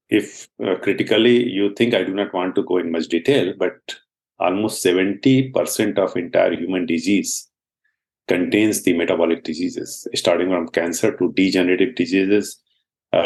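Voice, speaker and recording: A man in his sixties from India with an Indian accent and Hindi as his first language, a scientist guest who explains his work earnestly and often pauses on fillers in a podcast interview. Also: low voice, darkish tone. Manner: earnest, explanatory, frequent uh fillers, laughs at times.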